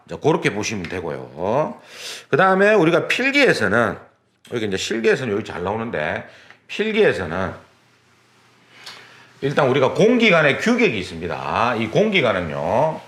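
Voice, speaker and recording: A man lectures in an exam prep course, his pitch leaping up and down as he repeats key terms and talks through hands-on demonstrations. His voice sits a little high for a man. The recording sounds roomy, with faint background noise. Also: fairly bright tone.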